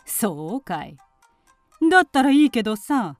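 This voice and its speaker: Sneering aunt, middle-aged, period radio drama. Domineering, distrustful, highly animated delivery, dry studio sound. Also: female voice, a little slow, no room sound, faint music behind. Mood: scornful, suspicious. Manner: haughty, sneering, commanding. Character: spiteful, suspicious aunt and mistress of a village household.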